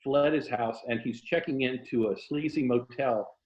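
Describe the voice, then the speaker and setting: A man's voice: mid-range voice, normal speed, darkish tone. American man on a roomy, muffled call. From Tampa, Florida, USA. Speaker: writer, interview guest. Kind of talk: casual conversation, then reading own novel excerpt aloud. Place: indoors in an old house, on a video call.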